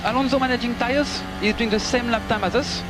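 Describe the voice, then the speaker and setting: Guy race engineer on team radio, pretty high voice, loud car noise all over it, reading out numbers and instructions. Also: fairly even intonation, brisk. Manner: polite, instructive, reporting numbers and gaps.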